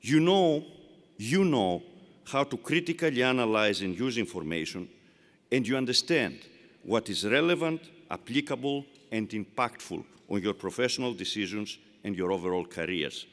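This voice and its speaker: A man's voice: on the low side, lively intonation, moderate pace. Older Greek-accented man in arena reverb. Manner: rhetorical, emphatic, repeats phrases for effect. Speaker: commencement speaker. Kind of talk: formal commencement speech. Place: large arena.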